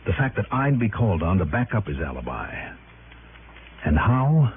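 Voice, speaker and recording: A man's voice: fairly low pitch, big pitch swings, moderate pace, a little hiss or hum. An American man playing a confident, dogged private investigator in a 1960s radio drama, clipped, assertive and wry. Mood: brooding, suspicious. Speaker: radio drama lead actor. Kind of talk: 1960s radio detective acting with first-person narration. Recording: tinny old radio broadcast, music behind.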